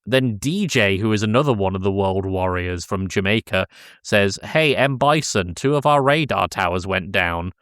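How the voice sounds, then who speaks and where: A man's voice: fairly low pitch, expressive, normal speed, fairly bright tone, clean tone, no room sound, clean studio sound. A man co-hosting a podcast, casually recapping a film in a jokey, loose storytelling way. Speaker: podcast co-host.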